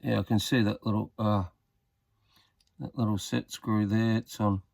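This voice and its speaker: A man's voice: on the low side, flat delivery, unhurried, vocal fry, darkish tone, no room sound, clean studio sound. A man giving a casual hands-on repair tutorial, talking tentatively and muttering as he works. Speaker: hobbyist demonstrating a radio repair.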